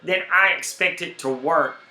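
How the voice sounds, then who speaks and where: A man with a heavy Southern accent rambles through his live stream, fired up and repeating himself. His voice sits a little high and comes across bright and forceful. The sound carries the echo of a bare room. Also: moderate pace. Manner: rambling, animated, repeats words when worked up. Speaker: YouTube streamer. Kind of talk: casual live-stream chat.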